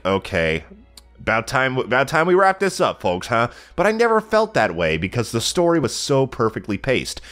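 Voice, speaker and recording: A man's voice: lowish voice, a bit bright. A young man with a stuffy, slightly nasal voice from a cold, casually rambling about a video game with plenty of fillers. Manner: rambling, lots of fillers and self-corrections. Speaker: let's play channel regular. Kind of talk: casual game review monologue.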